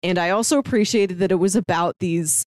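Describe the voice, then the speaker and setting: A woman's voice: lowish voice, a little fast, darkish tone, dry recording, studio quality. A woman co-hosting a podcast, chatting casually and explaining her take on a show with emphasis. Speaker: podcast co-host. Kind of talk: casual TV discussion chat.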